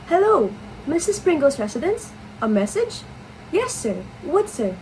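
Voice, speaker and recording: A woman's voice: high-pitched, very animated, a little slow, dark tone, slight background noise, low-quality recording. A woman playing a deferential, superstitious household servant in a play reading, speaking in a formal, polite, exclamatory way. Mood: formal, dutiful.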